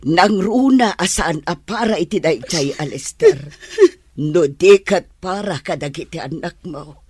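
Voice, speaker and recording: A woman acts a protective older mother in an audio drama. Her rough voice swings widely in pitch and moves slowly. She is indignant and emphatic, with music behind her. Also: expressive, a little mellow, no echo, clean studio sound. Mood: consoling, indignant. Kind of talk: Ilocano audio drama acting.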